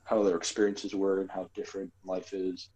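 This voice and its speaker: A man's voice: deep voice, flat delivery, fairly quick, warm tone, muffled recording. A man co-hosting a podcast, talking casually in a rambling way with lots of fillers and hedges. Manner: rambling, filler-heavy, hedging. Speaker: podcast co-host. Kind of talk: casual chat.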